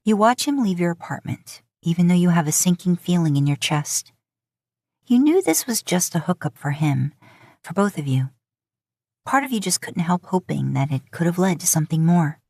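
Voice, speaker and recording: A woman's voice: fairly low pitch, expressive, moderate pace, darkish tone, no room sound. A woman narrating scripted romantic fiction as an audiobook-style storyteller, recorded close to the mic.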